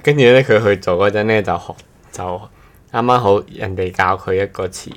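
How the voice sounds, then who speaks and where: A man speaks in a low-pitched voice as a podcast co-host. He chats casually, with a measured, explanatory way of talking. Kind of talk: casual chat.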